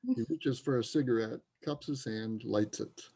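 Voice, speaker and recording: A man's voice: mid-range voice, moderate pace, gravelly, mellow, breathy edge. A man narrating a play, reading out scripted stage directions.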